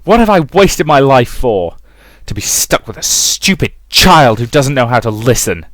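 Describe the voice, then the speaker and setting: A man's voice: medium pitch, expressive, moderate pace, fairly bright tone, no room sound, voice pushed up, natural voice. A man voicing an abusive, volatile mother in a visual novel, with theatrical, emphatic delivery. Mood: furious, menacing. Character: abusive mother, volatile, domineering, cloying when remorseful. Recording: music behind the voice.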